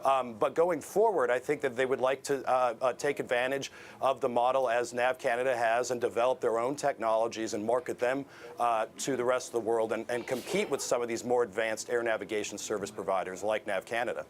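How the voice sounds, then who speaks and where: An American-accented man speaks as an expert news guest at an average pitch. His explanations come haltingly, with small hesitations, over faint background noise. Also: steady tone.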